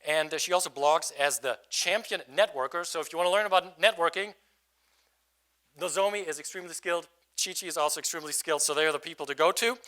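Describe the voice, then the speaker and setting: A man with a fairly high, bright voice moderates a panel into a microphone. He introduces guests and poses questions in a relaxed, loose way, with plenty of fillers. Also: fast talker. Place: event panel before a student audience. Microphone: microphone at a panel table.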